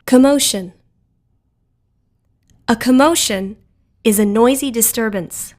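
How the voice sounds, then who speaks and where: A woman narrating a scripted vocabulary lesson in a deliberate, instructional way, giving words and their meanings. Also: fairly high pitch, a little mellow, slight background noise. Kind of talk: scripted educational narration, word then definition.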